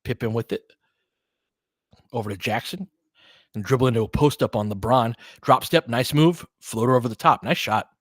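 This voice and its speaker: Male basketball play-by-play commentator, medium pitch, clipped and informal delivery, dry close sound. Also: normal speed, long pauses, no room sound. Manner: clipped phrases, informal asides.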